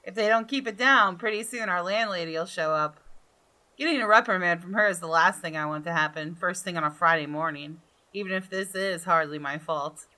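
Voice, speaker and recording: A woman's voice: lowish voice, brisk, sharp tone. A woman hosting a gaming video, reading visual novel narration expressively with casual commentary.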